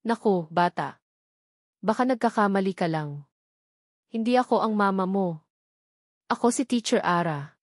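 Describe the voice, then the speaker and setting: Woman reading as a polite young teacher lady, gentle and earnest, dry storybook sound. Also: medium pitch, expressive, measured pace, long pauses, no echo.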